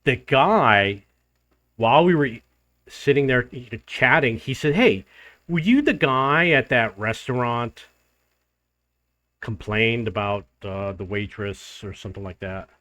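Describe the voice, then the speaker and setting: An older man, host of his own travel channel, telling a rambling personal story to the camera in a loud voice. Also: mid-range voice, expressive, a little slow, no echo. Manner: rambling, restarts and loops back in the story. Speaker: vlogger living abroad.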